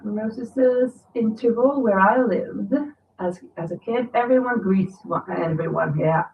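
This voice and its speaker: A woman, chatting in a rambling way on a livestream, a little nasal and raspy from a cold. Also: medium pitch, takes it slowly, slight background noise. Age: 50s. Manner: chatty, rambling, reads viewer comments aloud. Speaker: crochet livestream host. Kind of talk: casual livestream chat. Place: home. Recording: distant, echoey, phone-like sound.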